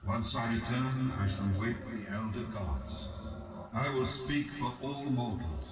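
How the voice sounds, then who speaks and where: A male actor playing an ancient fallen god in a video game, grave, ambitious and patient, speaking in solemn, formal, measured oratory. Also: mid-range voice, animated, lightly acted. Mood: grandly resolute. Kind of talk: scripted video game character dialogue. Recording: roomy, distant voice over game music.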